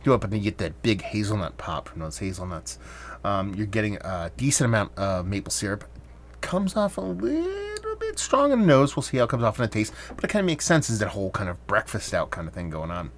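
Rambling low-voiced man reviewing beer. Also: brisk, slight background noise. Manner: relaxed, rambling, with many fillers. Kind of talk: casual beer review vlog.

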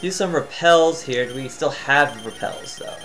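A tired man giving casual, chatty commentary as he plays a video game, thinking out loud and humming to himself. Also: mid-range voice, a little slow, soft background music, rough recording. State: tired, voice near cracking. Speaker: gaming channel host.

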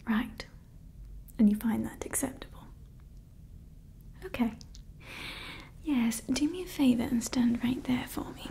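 A woman's voice: on the high side, a little slow, gravelly, long pauses, mellow, a little hiss or hum. A young woman playing a haughty female evil scientist in an ASMR roleplay, whispering close to the mic, scolding her staff and fussing over her creation. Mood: irritated, scolding. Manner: mostly whispered, scolding and fussy. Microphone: close sensitive ASMR mic.

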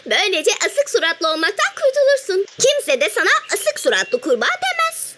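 A cheerful little female ladybug from a children's cartoon. The voice is extremely high and swoops with lively intonation. It is caring and encouraging, set over music. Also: brisk, a little hiss or hum, full character voice. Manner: encouraging, gently questioning, sings at times. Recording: music behind the voice.

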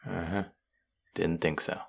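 A man's voice: deep voice, little pitch movement, unhurried, gravelly, exaggerated voice. A man voicing a smug, taunting young fantasy villain who menaces his captive in a theatrical roleplay audio monologue. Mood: teasing, controlling.

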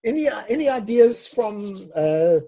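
An older man hosting a live webinar, giving unscripted commentary in a halting way with repeated words and restarts, his voice husky. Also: high voice, sing-song, dark tone, dry recording. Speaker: webinar host teaching forex chart analysis.

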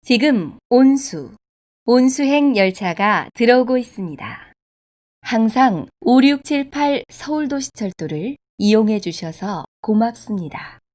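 A woman reading scripted subway station announcements in a formal, polite, measured way. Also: on the high side, expressive, darkish tone. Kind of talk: scripted public transit announcement.

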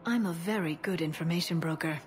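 A woman's voice: lowish voice, fairly quick, close to an everyday voice. Woman as prim, earnest young alien broker. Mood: composed, dry. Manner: precise, indignant, reserved. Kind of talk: video game voice acting.

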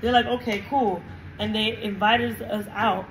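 A woman's voice: medium pitch, steady tone, measured pace, a little hiss or hum, low-quality recording. A woman in her twenties telling a casual, slangy story emphatically to a phone camera in a hotel room. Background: background music.

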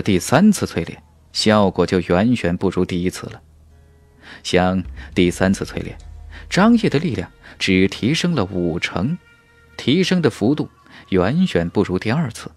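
A man with a fairly low voice reads a scripted fantasy story aloud. His intonation swings widely, with emphatic, dramatic phrasing. The sound is dry and close. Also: dry recording. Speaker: audiobook narrator. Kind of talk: scripted fantasy novel narration.

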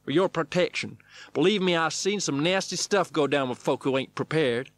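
A man voicing a brisk, folksy stunt coordinator with an emphatic, enthusiastic manner in a memoir reading. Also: on the high side, no room sound, voice pushed up, close to an everyday voice. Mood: brisk, insistent. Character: enthusiastic American male stunt coordinator, brisk cowboy wrangler.

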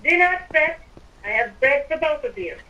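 High-voiced, animated woman roleplaying a game. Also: moderate pace, distant mic, dull audio. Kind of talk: casual in-character roleplay chat while gaming.

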